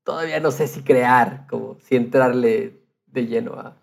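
A man's voice: mid-range voice, steady tone, moderate pace, creaky. A man chatting casually as a speaker on a conversational podcast. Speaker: podcast co-host or guest.

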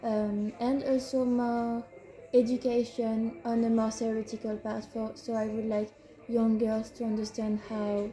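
Slow, soft-toned Frenchwoman speaking carefully. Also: on the high side, level tone, rough recording. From France. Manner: hesitant, searching for words. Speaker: librarian. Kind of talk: interview answers.

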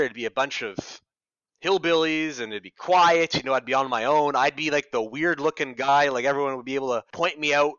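A young Canadian man with a bright, forward voice tells stories casually in an interview. He is chatty and self-deprecating. The sound is close and dry but somewhat dull. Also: medium pitch, no room sound. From Ottawa, Canada. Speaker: radio reporter being interviewed. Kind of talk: casual conversational storytelling.

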